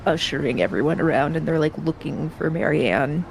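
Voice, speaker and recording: A woman's voice: sits low, brisk, gravelly, slight background noise. A woman talking casually as a player in a tabletop roleplaying game. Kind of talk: casual chat.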